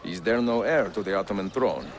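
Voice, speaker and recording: A man as a deep-voiced Italian master assassin. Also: expressive, noisy background, natural voice. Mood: reflective, serious. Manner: measured, reserved, courteous, dry. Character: composed, dry-witted Italian master assassin and mentor, male. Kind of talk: video game character acting. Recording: loud music behind the voice.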